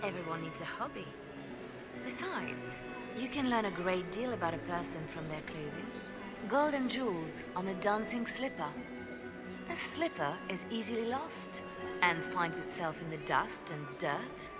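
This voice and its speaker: A woman's voice: on the low side, lively intonation, moderate pace, lightly acted. A woman playing a shrewd, sardonic spymaster. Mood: wry. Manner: cool, knowing, quietly sardonic. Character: shrewd poised female spymaster, dryly scornful. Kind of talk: video game cutscene dialogue. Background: orchestral music.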